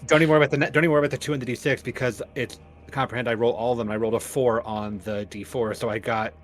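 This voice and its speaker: A man's voice: mid-range voice, steady tone, rapid-fire. A man chatting casually as a player in a tabletop roleplaying game. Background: faint music.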